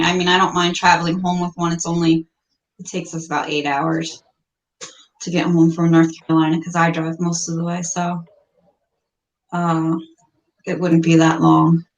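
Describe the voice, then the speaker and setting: A middle-aged American woman chatting casually on a livestream, telling stories in a plain-spoken, mildly salty way. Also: on the low side. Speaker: livestream guest, reptile keeper. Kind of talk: casual chat. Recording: roomy, echoey livestream audio.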